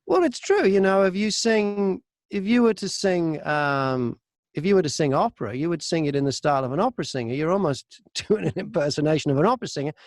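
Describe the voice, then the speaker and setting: A man's voice: on the high side, animated, brisk, no echo, clean studio sound. A British man, an audiobook narrator, speaking as an interview guest and explaining things conversationally with examples.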